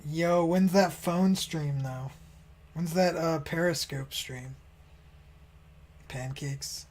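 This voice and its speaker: Higher-voiced man in his thirties, playful gamer. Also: takes it slowly, a little mellow. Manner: slangy, playful teasing. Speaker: racer and streamer in a community race. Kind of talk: casual gamer chat.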